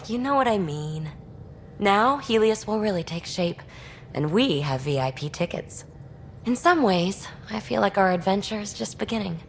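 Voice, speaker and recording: An actress playing a breezy, affectionate young adventurer with informal delivery in a video game. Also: female voice, medium pitch, expressive, moderate pace, slight background noise, close to an everyday voice. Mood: affectionate, excited.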